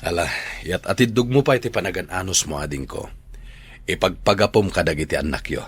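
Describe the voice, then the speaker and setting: An actor with a Filipino accent playing a patient, gentle elder brother offering counsel in a radio drama. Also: male voice, deep voice, a little fast, husky. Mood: gentle, advising. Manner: gentle, advising.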